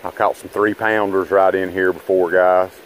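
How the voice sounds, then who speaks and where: A man's voice: low-pitched, level tone, moderate pace, slight background noise, rough recording. A man giving rambling, folksy commentary to his viewers while fishing from a rocky riverbank, recorded on a body-worn camera. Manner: folksy, rambling, talks to viewers as guys and boys. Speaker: fishing vlogger. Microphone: body-worn action camera.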